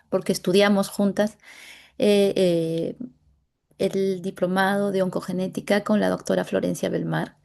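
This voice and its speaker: A Latin American woman with a gravelly, dark and mellow voice walks through a medical case as a dermatologist. She speaks haltingly, often pausing to find the right word, over a video call. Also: medium pitch. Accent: Latin American Spanish. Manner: halting, pauses to search for words. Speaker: dermatologist presenting a clinical case.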